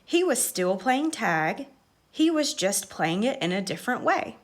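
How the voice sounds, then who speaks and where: A woman reading a children's story aloud with expressive, measured, dramatic narration. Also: mid-range voice.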